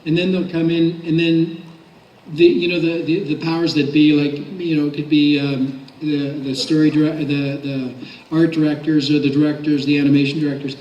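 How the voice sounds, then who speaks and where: A man's voice: on the high side, little pitch movement, moderate pace, a little mellow, highs cut off. A man speaking as a voice director on a panel, explaining his work in a casual, rambling way. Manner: rambling, loosely structured explanations. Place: convention panel room. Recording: echoey convention room, distant mic.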